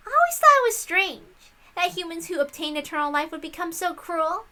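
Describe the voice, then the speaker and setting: A woman's voice: very high-pitched, sing-song, a little fast, very noisy, pitched above the performer's own voice. A woman voicing a playful, cruel young witch girl in a visual novel read-aloud, with a theatrical, giggly, sing-song manner.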